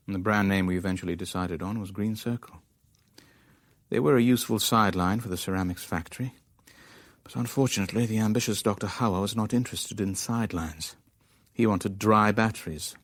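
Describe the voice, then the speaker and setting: Worldly businessman, low pitch, dry and understated, sceptical, close studio sound, radio drama. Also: a little fast, no room sound. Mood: dry, doubtful. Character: pragmatic, wry male businessman, sceptical and worldly. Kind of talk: radio drama dialogue and first-person narration.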